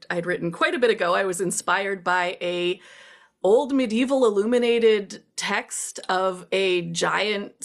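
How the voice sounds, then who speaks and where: A woman author casually introducing her own work, chatty and a little halting as she goes. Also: on the low side.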